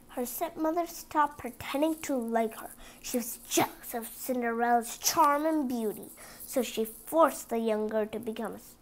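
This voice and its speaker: A woman with a high voice and noticeable creak reads a children's story aloud. She takes her time and speaks expressively, chatting directly to young listeners as she goes. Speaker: storybook reader.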